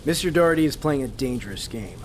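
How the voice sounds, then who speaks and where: An actor playing a seasoned former field agent, wary, serious and dryly exasperated, in an audio drama. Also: male voice, mid-range voice, lively intonation, quick pace, slight background noise, natural voice.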